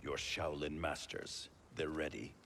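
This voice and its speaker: A man playing a stern, suspicious warrior in a video game, gravelly and grave, with terse commands. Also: rumbling low, little pitch movement, a little slow, very noisy. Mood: grave, resolute. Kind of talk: video game cinematic acting.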